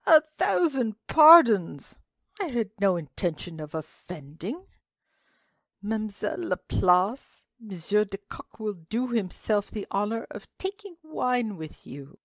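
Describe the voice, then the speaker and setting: An excitable, mischievous Frenchman in a storybook reading, acted by a woman. He is florid and theatrical, with pitch that leaps high and swoops about. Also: unhurried, dry recording, clean studio sound. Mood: effusive apology. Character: excitable tall Frenchman, mischievous dinner guest with flourishes.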